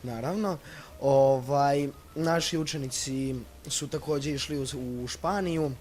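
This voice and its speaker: A man's voice: fairly high pitch, moderate pace, crowd noise. A young man with a Serbian accent hosting a radio interview in an unscripted, polite and hesitant way. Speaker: school radio host. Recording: music playing behind the voice.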